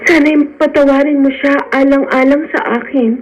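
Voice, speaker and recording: A woman acting in a Filipino radio melodrama as a gentle, devoted young sweetheart, emotional and pleading. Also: sits high, fairly even intonation, moderate pace, faint music behind, natural voice. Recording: dull lo-fi radio sound.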